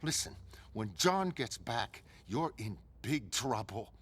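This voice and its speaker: A man voicing a distraught, defensive, sharp-tongued woman who sounds flustered and indignant. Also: medium pitch, big pitch swings, slow pace, husky, full character voice. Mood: defensive, angry.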